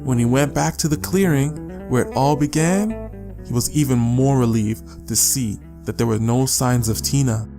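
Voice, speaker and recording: A man's voice: medium pitch, normal speed. Male storyteller over guitar music. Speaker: audiobook narrator. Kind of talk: scripted story narration.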